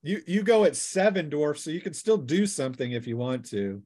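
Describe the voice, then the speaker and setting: A man's voice: on the high side, lively intonation, fairly quick, dark tone. A man acting as game master, narrating a tabletop game in a playful, colloquial way. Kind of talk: casual tabletop game narration with acted sound effects.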